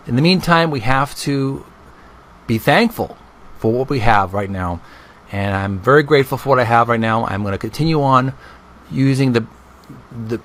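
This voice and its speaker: A man hosting a casual vlog, talking and reading aloud in an earnest, deliberate way with drawn-out emphasis. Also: mid-range voice, fairly bright tone. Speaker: video host. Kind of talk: casual vlog narration with book read aloud.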